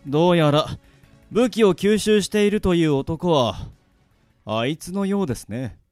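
A man's voice: on the high side, lively intonation, unhurried, a little mellow, no echo, faint music behind. A man playing a polite, weary demon. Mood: calm. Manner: polite, cool, sighing. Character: polite male demon companion, calm and weary. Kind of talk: radio drama acting.

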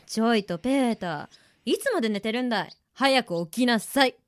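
A woman's voice: a little high, animated, brisk, dry recording, clean studio sound, close to an everyday voice. A woman playing a bossy, no-nonsense mother who nags and commands in a radio drama. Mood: stern nagging. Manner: nagging, commanding. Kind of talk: hobby radio drama acting.

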